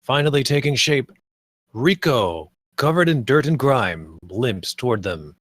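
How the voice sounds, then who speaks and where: A man's voice: medium pitch, expressive, a little slow, dry recording, studio quality. A man narrating the stage directions and scene headings of a screenplay at a table read.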